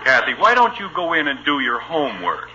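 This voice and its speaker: Middle-aged American dad, dry and exasperated, sharp bright voice, very animated, noisy old radio recording. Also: male voice, mid-range voice, fairly quick, lo-fi sound. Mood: stern. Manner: dry, wisecracking, gruff. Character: exasperated, sarcastic middle-aged family father. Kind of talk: 1950s radio sitcom acting.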